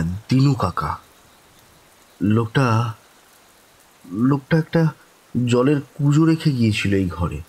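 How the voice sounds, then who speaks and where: A man acting as the shaken first-person narrator of a horror audio story, halting and suspenseful, with dramatic pauses. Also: fairly low pitch, a little mellow, breathy edge, exaggerated voice, poor audio. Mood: uneasy. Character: shaken, haunted man recounting a ghostly dream.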